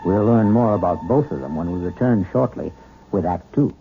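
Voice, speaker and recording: A man's voice: sits low, animated, normal speed, gravelly. A male host narrating a radio mystery in a theatrical, ominous manner. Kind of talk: scripted dramatic narration. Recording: tinny old radio with music bed.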